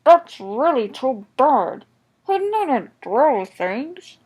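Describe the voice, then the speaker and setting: A woman's voice: sits high, big pitch swings, extremely slow, darkish tone, husky, voice pushed up, full character voice. A woman voicing a plucky, earnest little steam engine in a children's storybook, shivering, gasping and exclaiming. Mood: indignant, dismayed.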